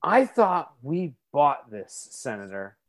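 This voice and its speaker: Emphatic man with a high, lively voice. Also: slow pace. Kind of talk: improv comedy sketch.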